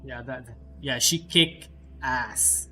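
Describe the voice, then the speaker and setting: A man hosting a game livestream with casual, halting commentary, often repeating himself. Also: mid-range voice, pushed and bright, faint music behind. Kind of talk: casual live gameplay commentary.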